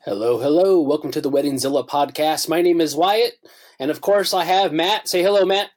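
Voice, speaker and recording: A man's voice: a little high, a little fast, darkish tone. A man hosting a wedding podcast, enthusiastic and full of filler uhs. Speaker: podcast host.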